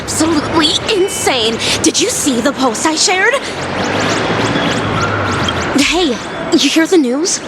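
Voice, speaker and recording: Excitable, animated gossiping townswoman. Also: high-pitched, slow, pauses often. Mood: alarmed gossip. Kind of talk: scripted game dialogue. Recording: loud game music behind the voice.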